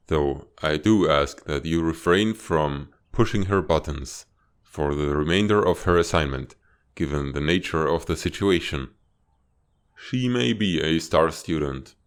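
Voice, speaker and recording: A man voicing a stern reptilian spymaster in a comic dub, speaking in a formal, measured, authoritative way. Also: a bass voice, smooth. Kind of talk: dramatic fan-dub comic acting.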